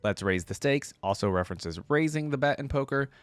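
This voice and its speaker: A man's voice: medium pitch, expressive, brisk, clean tone, no echo. A man hosting a reaction video with casual, informal commentary, easily amused as he goes.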